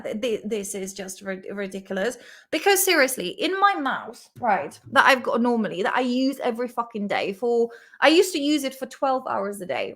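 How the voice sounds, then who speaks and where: Bright-voiced Czech woman vlogging. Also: a little high. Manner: chatty, swears freely, laughs often; sounds words out slowly and repeats them. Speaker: vlog host. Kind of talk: casual study-along vocabulary vlog.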